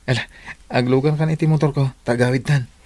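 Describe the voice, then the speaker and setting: A man's voice: mid-range voice, fairly even intonation, brisk, a little mellow, no room sound, lightly acted. A man with an Ilocano Filipino accent playing a coaxing, evasive young boyfriend in a radio drama, casual in manner.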